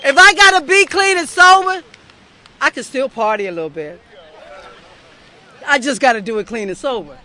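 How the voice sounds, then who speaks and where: A woman's voice: high voice, animated, normal speed, pauses often, bright, forceful tone. A young woman from the southern United States giving a heartfelt, earnest personal testimony at a recovery meeting, speaking straight to the crowd. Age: young adult.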